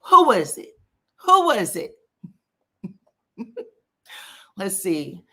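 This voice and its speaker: A woman's voice: medium pitch, wildly swooping pitch, very slow, a bit bright. Woman, scratchy voice, live-stream host, casual gossip chat, folksy, talks to viewers. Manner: chatty, folksy, addresses viewers directly. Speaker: celebrity gossip YouTuber.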